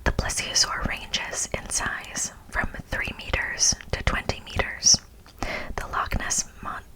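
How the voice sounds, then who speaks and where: An American woman doing ASMR, reading an informative speech in a hushed, deliberate manner with playful asides to the listener. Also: rough recording. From United States. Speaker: ASMR host.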